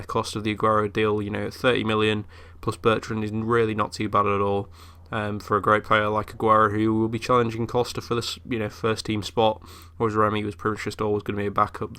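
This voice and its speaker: A man's voice: lowish voice, gravelly, pushed and bright, smooth. A young man who is ill, chatting casually through game commentary with lots of fillers. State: ill, voice a bit off. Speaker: gaming YouTuber.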